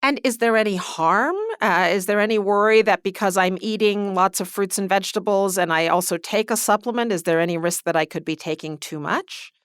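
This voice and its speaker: A woman's voice: mid-range voice, brisk, few pauses, dry recording, studio quality. A woman hosting an interview podcast, asking structured questions and recapping the answers plainly. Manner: clear, structured, recapping what was said.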